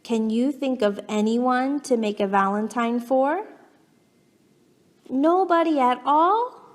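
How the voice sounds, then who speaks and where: A woman reads a picture book to children very slowly and deliberately, with long reverb around her voice. She coaxes and reacts with exaggerated feeling, as if talking straight to the character. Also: sits high. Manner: animated, coaxing, exaggerated reactions. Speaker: children's storybook reader.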